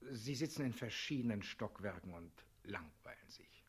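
An actor playing a grim prison warder in a German radio drama, reporting in a terse, dry manner with a husky voice. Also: male voice, on the low side, expressive, moderate pace. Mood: dour, terse. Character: grim, dour male prison warder reporting to his ruler.

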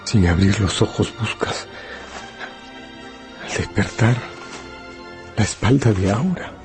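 An actor playing a grave, intimate male narrator who addresses the hero directly in a dramatic, deliberate radio-novel style. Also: lowish voice, music in the background. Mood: suspenseful.

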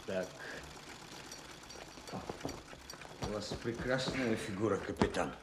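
An actor playing a humble, kindly old provincial tailor and grieving widower, speaking in unhurried, deferential, halting phrases in an audio drama. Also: male voice, lowish voice, very noisy, close to an everyday voice. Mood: deferential, admiring. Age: older. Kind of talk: Soviet-style audio drama dialogue.

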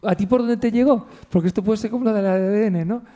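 High-pitched, soft-toned male co-host. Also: fast. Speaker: radio show co-host. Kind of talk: casual radio chat.

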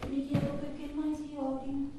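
High-voiced lovelorn woman, played by an actress, echoey. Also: completely flat delivery, close to an everyday voice. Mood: bitter resignation. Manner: dramatic, halting, swinging from murmurs to outcries. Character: lovelorn, anguished woman alone, waiting by the telephone. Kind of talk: theatrical stage monologue. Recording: roomy, distant, echoing, faintly noisy.

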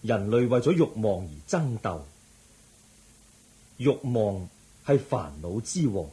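A man playing a serene, grave holy teacher preaching in measured Hong Kong Cantonese in a radio drama. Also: lowish voice, very animated, mellow. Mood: solemn, serene. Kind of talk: solemn sermon in radio drama.

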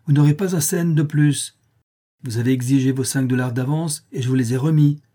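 A man's voice: mid-range voice, a little fast, warm tone, pitched above the performer's own voice, lightly acted. A man doing an irritable young doctor. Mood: irritated. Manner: curt, irritated.

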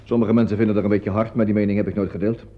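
Quick-talking, emphatic theatre man played by an actor. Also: on the low side, flat delivery, natural voice. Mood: breezy. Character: chatty, indignant male theatre colleague. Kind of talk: radio play acting in Dutch. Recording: dull lo-fi radio play recording.

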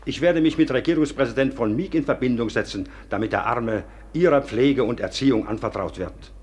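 A man playing a dignified, decisive high official in a radio drama, with a formal and authoritative manner. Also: fairly quick, darkish tone, slight background noise, natural voice. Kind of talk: German radio drama acting.

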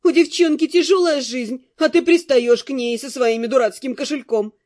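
A woman voicing a cheerful, energetic young female bandit with a mocking, amused manner in an audiobook. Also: sits high, measured pace. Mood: laughing, scolding.

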